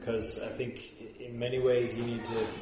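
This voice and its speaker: A man joining the discussion, agreeing in an even way. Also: mid-range voice, moderate pace, echoey room, background noise.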